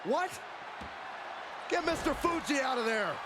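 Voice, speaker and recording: A man giving excited, partisan color commentary on wrestling. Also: very high-pitched, measured pace. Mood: incredulous. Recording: noisy, loud arena crowd.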